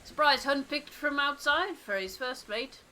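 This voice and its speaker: A woman voicing a seasoned older sailor in a game, calm, steady and plain-spoken. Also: sits high, measured pace, faint music behind, pitched above the performer's own voice. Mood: calm, reflective. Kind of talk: character voice acting in a game playthrough.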